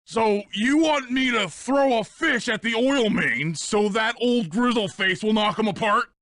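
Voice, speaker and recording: A man voicing a big, simple, eager hippo sidekick in a video game, with a childlike gravelly voice. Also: measured pace, fairly bright tone, no echo. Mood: puzzled. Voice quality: gravelly, high. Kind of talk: video game character voice acting.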